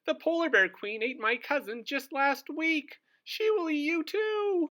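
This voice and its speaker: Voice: high voice, unhurried, pushed and bright. A performer voicing an alarmed seal who warns urgently in a barking, storybook manner. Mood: urgent warning.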